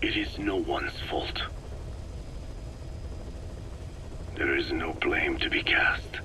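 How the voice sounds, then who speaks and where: An actor playing a very old, frail scientist in a video game, explaining gravely and formally in a hoarse, breathy whisper. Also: male voice, a bass voice. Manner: slow, formal, clinical explaining. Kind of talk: scripted video game dialogue. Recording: noisy cassette tape recording.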